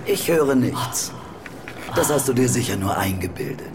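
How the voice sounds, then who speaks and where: A woman playing a sinister, seductive nurse figure in an audio drama, hushed and partly whispering. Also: a bass voice, lively intonation, moderate pace, noisy background. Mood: sinister, coaxing. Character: sinister seductive woman tending a wounded man.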